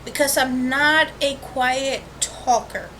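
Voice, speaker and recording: A woman with a notably high voice chats informally as the host of an art vlog. She rambles through asides and corrects herself as she goes. The sound comes from a webcam microphone, with faint noise underneath. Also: steady tone, slow pace, a bit bright. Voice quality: high-pitched voice. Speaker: host of a watercolor painting channel. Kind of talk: casual, chatty vlog intro to an art tutorial. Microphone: built-in webcam microphone.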